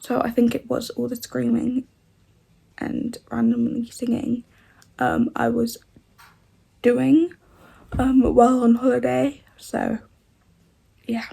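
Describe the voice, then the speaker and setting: Rough-voiced young woman with a cold. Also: fairly high pitch, flat delivery, takes it slowly, pauses often, warm tone, poor audio. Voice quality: rough, odd-sounding voice. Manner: rambling, many "like" and "and that" fillers. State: congested with a cold. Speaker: vlogger. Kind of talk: casual vlog chat.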